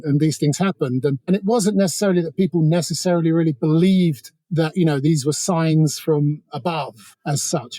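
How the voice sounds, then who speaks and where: A man's voice: a little high, warm tone, no echo. A man speaking as an interview guest, giving informal, discursive analysis with a wry edge. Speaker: interview guest, author on North Korea.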